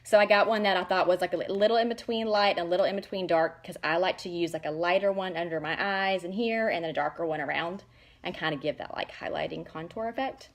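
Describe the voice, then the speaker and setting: A woman's voice: fairly low pitch, quick pace. A woman, chatting casually and gushing as she shows makeup products to the camera. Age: 40s. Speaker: makeup seller showing products. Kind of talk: casual product demo vlog.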